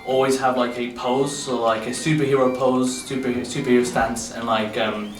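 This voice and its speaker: A teenage boy, a drama student, explaining informally and haltingly with repeated words. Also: medium pitch, little pitch movement. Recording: echoey, distant, music underneath.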